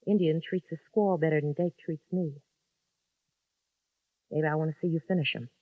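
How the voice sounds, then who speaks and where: A woman's voice: deep voice, steady tone, moderate pace, no room sound. An actress playing a frightened, lonesome young woman who pleads urgently, in a 1950s radio drama. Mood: confiding. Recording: dull lo-fi 1950s radio recording.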